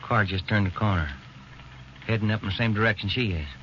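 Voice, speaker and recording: An actor playing an easygoing police detective who talks in a plain, conversational way in a 1940s radio drama, on a noisy, dull old recording. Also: male voice, fairly low pitch, fairly quick, fairly bright tone, close to an everyday voice.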